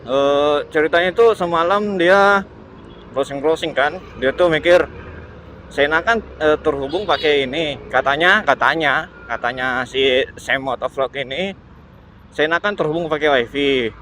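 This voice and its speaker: A man gives casual, unscripted vlog commentary while riding, repeating himself and searching for words. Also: fairly high pitch. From Indonesia. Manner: halting, repetitive, searching for words. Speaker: motovlogger. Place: outdoors, riding a motorbike in traffic. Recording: steady engine and road noise. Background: motorbike and vehicle noise.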